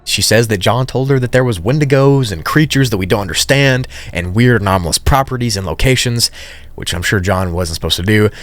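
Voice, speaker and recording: Guy recapping a spooky story, kinda low voice, chatty with little asides, dry close sound. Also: a little fast, no room sound. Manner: conversational, informal asides. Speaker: video narrator, storyteller. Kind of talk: casual story recap commentary.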